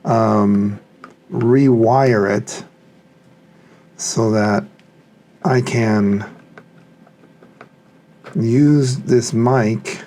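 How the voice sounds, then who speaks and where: A man talks slowly and tentatively, musing to himself in a casual unboxing commentary. His voice is mellow with plenty of fry, and there is some background noise. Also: medium pitch. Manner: musing, thinking aloud, tentative. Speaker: hobbyist product reviewer.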